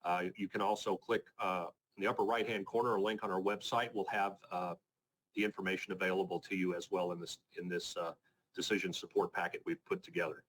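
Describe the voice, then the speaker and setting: A man presenting an informal technical weather briefing as a forecaster, speaking in a measured, matter-of-fact, plain-spoken way. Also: sits low, flat delivery, fairly bright tone, rough recording. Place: conference call presentation.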